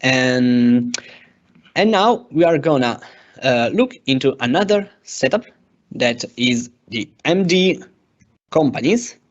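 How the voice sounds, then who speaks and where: Slow, halting man giving a software demo. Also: mid-range voice, expressive. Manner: hesitant, halting delivery. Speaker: software engineer presenting a tutorial. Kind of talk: step-by-step software demo narration. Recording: dull, lo-fi microphone sound.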